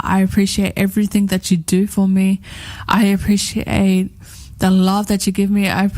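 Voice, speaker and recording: A woman's voice: mid-range voice, darkish tone. Rambling heartfelt woman on radio. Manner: rambling, heartfelt, repeats herself. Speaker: radio co-host. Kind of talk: casual radio chat.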